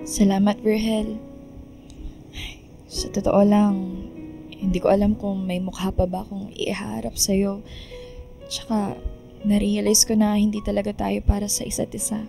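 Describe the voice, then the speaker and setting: Remorseful young woman, mellow, fairly even voice. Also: mid-range voice, a little slow, gravelly, long pauses, faint music behind. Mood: apologetic. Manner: apologetic, earnest. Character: remorseful, self-sacrificing young woman in love triangle. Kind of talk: radio drama dialogue.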